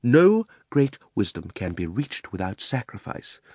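A cruel, pompous old uncle from a children's storybook, a gentleman magician. His delivery is extremely animated, soaring with haughty indignation and sinking into condescension. The sound is dry and close. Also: lowish voice, a little slow, slightly rough, no echo, exaggerated voice. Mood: outraged, grandiose. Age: older. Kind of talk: dramatised storybook reading.

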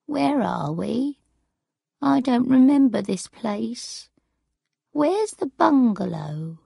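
Woman acting a frail, muddled old grandmother with a husky voice. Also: big pitch swings, takes it slowly, warm tone, dry recording, clean studio sound, heavily acted. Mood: confused, anxious. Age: older. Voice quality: strange high singing voice when breaking into song. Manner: wandering, muddled, questioning. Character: frail confused old grandmother, stubborn, suspicious, childlike.

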